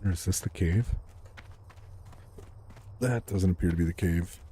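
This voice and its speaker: A man live-streaming a video game from home, talking to his chat in a soft, unhurried, calming voice and thanking viewers as they come in. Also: a little hiss or hum. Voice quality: calming low voice. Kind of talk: casual live-stream chat while gaming. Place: home room.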